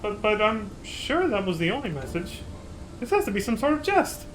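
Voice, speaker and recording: A man's voice: sits high, big pitch swings, moderate pace, a bit bright, a little hiss or hum, poor audio. A man voicing a flustered young male engineer who stammers indignantly.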